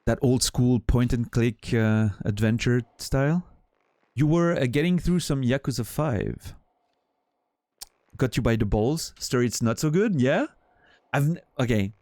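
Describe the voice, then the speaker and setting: A man's voice: medium pitch, expressive, measured pace, a little mellow, no room sound. A man from Switzerland with a Swiss French accent, a native French speaker, chatting casually with his stream viewers in a rambling, profane way full of restarts.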